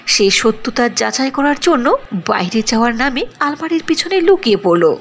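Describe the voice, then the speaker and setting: A woman's voice: a little high, lively intonation, normal speed, a little hiss or hum. A woman narrating a cartoon fairy tale in a sing-song storytelling manner.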